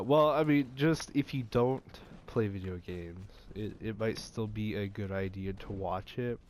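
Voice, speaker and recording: A man's voice: lowish voice, a little mellow. Stuffy-nosed young man rambling. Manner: rambling, many fillers and restarts. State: stuffy nose. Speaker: gaming channel host. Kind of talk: casual gaming vlog chat.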